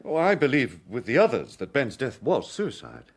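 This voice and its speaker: An actor playing an anxious, protective British gentleman in a radio detective drama, speaking in a hesitant, apologetic way. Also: medium pitch, huge pitch swings, moderate pace, husky, heavily acted. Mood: flustered, evasive.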